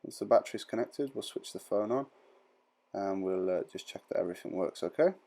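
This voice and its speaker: A man's voice: low-pitched, brisk, dark tone. A man giving an informal step-by-step tutorial voice-over in a plain-spoken, reassuring, explanatory manner. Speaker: repair tutorial presenter.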